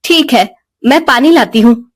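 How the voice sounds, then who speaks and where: A woman's voice: fairly high pitch, measured pace, breathy edge. A woman voicing polite, earnest queens in a Hindi fairy tale cartoon. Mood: obliging.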